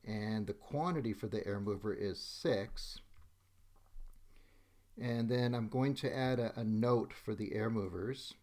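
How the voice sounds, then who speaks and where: Methodical man narrating a software tutorial. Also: fairly low pitch, a little slow. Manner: methodical, announcing each step as he goes. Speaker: software demonstrator. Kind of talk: step-by-step screen tutorial narration. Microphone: voice-over on a screen recording.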